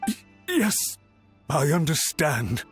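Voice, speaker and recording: An actor playing a deferential, anxious official in a video game, speaking formally and apologetically. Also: male voice, a little high, huge pitch swings, slow, music in the background, full character voice. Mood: cowed. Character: deferential, anxious male official under reprimand. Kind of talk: scripted video game voice acting.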